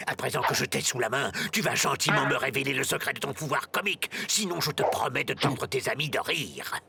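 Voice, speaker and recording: Pompous villain clown, wildly swooping voice. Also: male voice, medium pitch, expressive, brisk, vocal fry, slight background noise, exaggerated voice. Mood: menacing, boastful. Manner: pompous, grandiloquent, melodramatic. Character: vain theatrical washed-up villain clown, grown man. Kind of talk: French animated cartoon acting. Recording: loud music behind the voice.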